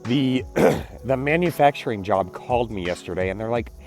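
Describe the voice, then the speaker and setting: A man, congested and clearing his throat, chatting casually in a daily vlog and apologizing often. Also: mid-range voice, lively intonation, normal speed, a little mellow, soft background music. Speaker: vlogger.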